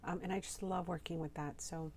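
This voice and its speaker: A woman with a slightly hoarse voice, narrating a crafting tutorial voiceover, soft-spoken and explaining with frequent ums. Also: lowish voice, steady tone, a little fast. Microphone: home voiceover mic.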